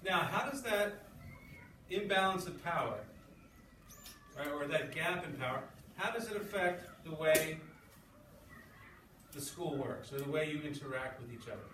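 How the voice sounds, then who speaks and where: A man with a slightly high voice teaches a class, thinking aloud and checking understanding as he goes. He sounds a little far from the mic in a very echoey room, with clear background noise. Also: animated, measured pace, pauses often. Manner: thinking aloud, often checking with "okay" and "right". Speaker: English teacher. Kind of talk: classroom lesson. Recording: distant, echoey, noisy classroom recording.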